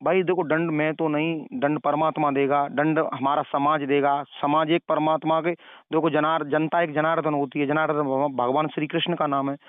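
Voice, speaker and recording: Man, clear resonant voice, moralizing phone caller who repeats himself to make points; dry, telephone-grade sound. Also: fairly high pitch, flat delivery, moderate pace, no echo. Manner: moralizing, preachy, repeats phrases. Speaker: caller questioning and advising the other party. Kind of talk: phone conversation. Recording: narrow tinny phone-call recording.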